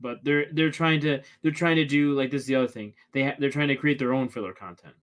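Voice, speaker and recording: A man's voice: mid-range voice, quick pace, fairly bright tone. A man chatting casually during a watchalong stream, rambling with many restarts and fillers. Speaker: watchalong co-host. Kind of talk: casual reaction chat.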